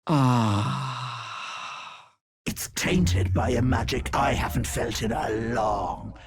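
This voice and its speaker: An actress playing a gleefully malicious old hag in a video game, raspy and theatrical, with mock-sweet endearments and taunting menace. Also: female voice, very deep voice, slow pace, heavily acted. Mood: mock-sweet, teasing. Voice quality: husky, raspy cackling old voice.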